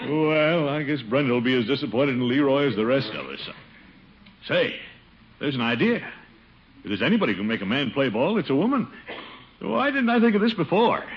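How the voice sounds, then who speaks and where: A man's voice: medium pitch, very animated, normal speed, lightly acted. An actor playing a flustered, blustery middle-aged uncle and committee chairman in a theatrical old radio comedy. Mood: scheming. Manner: theatrical, exclamatory, chuckling. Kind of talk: 1940s-50s radio situation comedy acting. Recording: noisy, muffled old radio recording.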